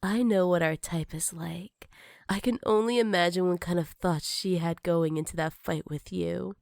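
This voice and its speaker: A woman's voice: fairly low pitch, fairly even intonation, normal speed, darkish tone, no room sound. Woman acting a possessive villainess, intimate and dry. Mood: jealous, possessive. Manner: breathy, teasing, often dropping into whispers. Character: possessive, jealous female villain, flirty and teasing rival. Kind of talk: intimate ASMR roleplay.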